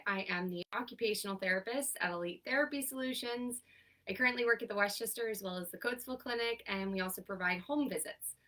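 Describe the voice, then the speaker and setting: A woman's voice: mid-range voice, vocal fry, sharp tone, recorded from a distance. Stuffy-voiced woman leading a seated workout. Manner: upbeat, encouraging, counts down holds. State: congested, stuffy from sinus trouble. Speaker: occupational therapist leading seated exercise class. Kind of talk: instructing an online exercise class.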